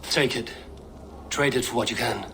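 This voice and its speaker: A man's voice: medium pitch, normal speed, creaky, bright, forceful tone, slight background noise. A man acting a proud, blunt Viking warrior in a video game, terse, defiant and dryly mocking. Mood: wry. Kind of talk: cinematic video game dialogue.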